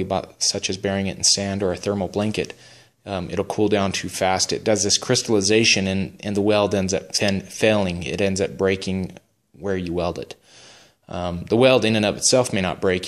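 A man in his garage explaining a repair in a plain, unhurried way, with frequent ums and restarts. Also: low-pitched. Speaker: hobby welder. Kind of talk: casual how-to narration. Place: home garage workshop.

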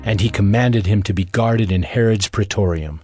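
A man's voice: fairly low pitch, moderate pace, darkish tone, breathy edge, no echo, studio quality, faint music behind. A man narrating dramatized scripture as a scripted reading.

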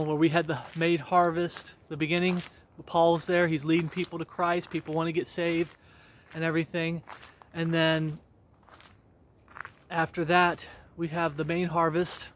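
A middle-aged man with a slightly higher voice preaches as he walks along a wooded country road. He exhorts his listeners in a repetitive, earnest way, full of fillers and restarts. The sound comes from a camera he holds at arm's length outdoors. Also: a little hiss or hum. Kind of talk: walk-and-talk devotional preaching. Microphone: handheld camera at arm's length.

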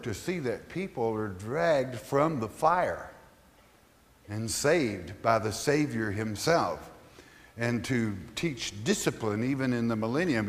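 Male pastor, mid-pitched, chatty teaching delivery with scripture readings, long hall echo. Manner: conversational, asks the listeners questions, reads scripture aloud. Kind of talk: Bible study teaching.

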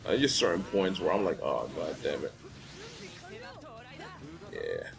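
A man's voice: rumbling low, wildly swooping pitch, measured pace, vocal fry, fairly bright tone, faint music behind. A young man from the Dominican Republic giving casual, profane commentary while playing a fighting game, ranting and easily irritated. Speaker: gameplay commentator.

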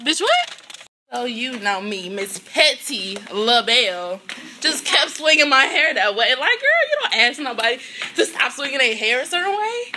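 A woman's voice: sits high, very animated, moderate pace, a little hiss or hum. A young woman vlogging about a college visit in a chatty way, filled with "like," filmed on a camera held at arm's length in a car and dorm rooms. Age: young adult. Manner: chatty, fills talk with "like". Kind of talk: casual vlog chat. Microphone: handheld camera at arm's length. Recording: loud music behind.

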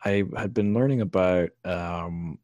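A man's voice: low voice, a little slow, darkish tone, no echo, lo-fi sound. A man hosting a podcast, chatting casually in a rambling way with wry asides. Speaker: podcast host. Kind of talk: casual literary podcast chat.

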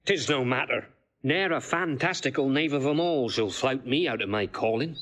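An actor playing a fussy, indignant country vicar in a stage play, with a husky voice. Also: male voice, medium pitch, moderate pace, a bit bright, soft background music, lightly acted. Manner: prim, indignant.

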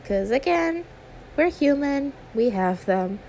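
A woman's voice: high voice, expressive, slow pace, vocal fry, a little mellow, slight background noise, full character voice, low-quality recording. A woman voicing an anxious, sweet young female YouTuber in an audio roleplay, stammering, awkward and apologetic. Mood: reassuring. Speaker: ASMR roleplay performer. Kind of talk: one-sided ASMR audio roleplay.

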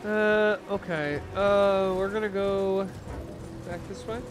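A young man streaming a game from a small home room, sounding nasal and congested, rambling and breaking into loud outbursts. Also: high-pitched, flat delivery, slow pace, noisy background. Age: young adult. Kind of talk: live gameplay commentary. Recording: game sound clearly underneath.

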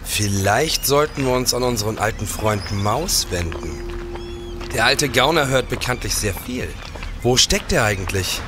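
An actor playing a police detective and war veteran in a radio play. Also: male voice, mid-range voice, lively intonation, unhurried, over music, lightly acted. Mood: measured. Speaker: actor in an audio drama. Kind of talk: German radio play acting.

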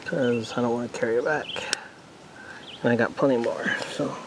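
A man's voice: mid-range voice, steady tone, moderate pace, heavy background noise. A man talking casually to himself while cooking a meal on a camp stove outdoors, unsure and easygoing. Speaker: outdoor vlogger. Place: outdoor campsite by a lake.

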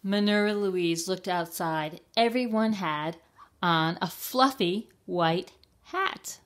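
An older woman reads a picture book aloud for children. Her voice is fairly low and very unhurried, and she speaks deliberately, putting simple questions to young listeners. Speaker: library storytime reader.

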